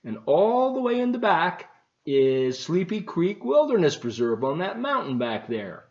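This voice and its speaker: A man talking to children as a volunteer storybook reader, explaining things in an animated, unhurried, folksy way. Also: high voice. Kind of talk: talking to children and reading a picture book aloud. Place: at home, partly in a living room. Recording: roomy, slightly muffled home video.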